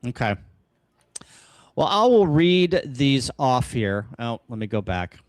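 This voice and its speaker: An American man hosting a casual livestream, talking through ideas in halting, short phrases. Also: mid-range voice, very animated, dry recording, clean studio sound. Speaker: host of a ham radio livestream. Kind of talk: casual livestream brainstorming talk. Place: upstairs room at home, kitchen table.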